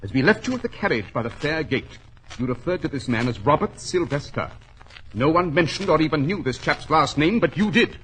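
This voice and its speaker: A masterful gentleman detective with a widely swinging, animated delivery. He is clipped, exact and sure of himself, in the manner of 1940s radio drama. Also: a little high, a little fast, a little hiss or hum, rough recording. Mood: confident, revealing. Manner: clipped, authoritative, precise. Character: brisk, commanding, self-assured gentleman detective.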